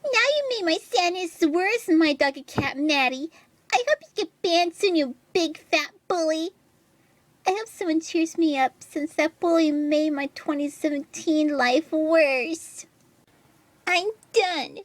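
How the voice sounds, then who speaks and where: A woman's voice: very high-pitched, unhurried, no echo, pitched above the performer's own voice. A woman voicing a whiny, aggrieved young female artist, reading out online posts in a melodramatic, complaining way. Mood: self-pitying.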